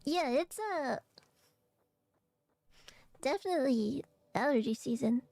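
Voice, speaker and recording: A young woman streamer chatting casually and rambling with fillers, her voice a little hoarse and raspy from allergies. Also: sits high, animated, slow, warm tone, no room sound. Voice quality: raspy, phlegmy throat. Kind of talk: casual chat to stream viewers.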